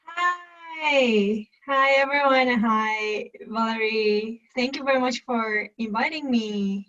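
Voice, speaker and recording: A woman from Japan with a Japanese accent, answering interview questions on a video call and often pausing to search for words. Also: fairly high pitch, a bit bright, dull audio. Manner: halting, searching for words with fillers. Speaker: guest, Zen eating workshop host.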